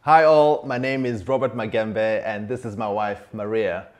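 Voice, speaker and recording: A man's voice: lowish voice, fairly even intonation, moderate pace. A man from Tanzania, a Swahili speaker, presenting a church teaching to camera in a studio. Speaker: church leader. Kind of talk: presenting a sermon segment to camera. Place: studio set.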